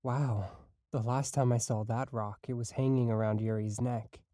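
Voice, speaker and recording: A man's voice: medium pitch, fairly even intonation, normal speed, mellow, a little hiss or hum, lightly acted. A man playing an easygoing, loyal guard in an audio drama. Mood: curious, willing.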